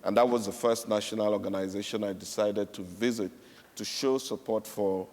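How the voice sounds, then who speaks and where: A man's voice: on the low side, steady tone, normal speed, a little mellow. A man from Cameroon speaking on a panel, telling a story with emphatic repetition. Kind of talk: panel speech. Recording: echoey hall.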